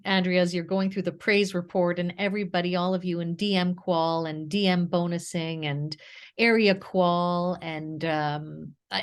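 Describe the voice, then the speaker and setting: A woman in her fifties with a French Canadian accent, a native French speaker, giving an effusive motivational talk as a guest speaker. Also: on the low side. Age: 50s.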